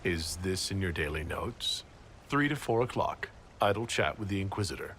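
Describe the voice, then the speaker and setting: An actor playing a courteous, good-humored leader of a fantasy order in a video game, gently teasing and sincere. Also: male voice, sits low, expressive, moderate pace, a little hiss or hum. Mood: warm, teasing. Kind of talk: video game cutscene acting.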